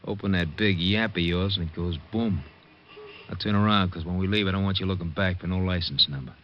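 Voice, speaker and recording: Actor as a menacing, deep-voiced gangster thug. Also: male voice, steady tone, brisk, close to an everyday voice. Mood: coldly threatening. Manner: bullying, slangy tough talk. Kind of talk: old-time radio crime drama acting. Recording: dull, noisy old radio recording with clicks.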